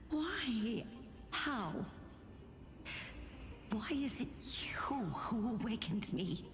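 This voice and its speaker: A woman playing a noble warrior grandmother's awakened spirit in a video game, tender and sorrowful, speaking in a halting, stately way. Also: a little high, a little hiss or hum. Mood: anguished wonder. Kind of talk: dramatic video game voice acting. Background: game soundtrack music.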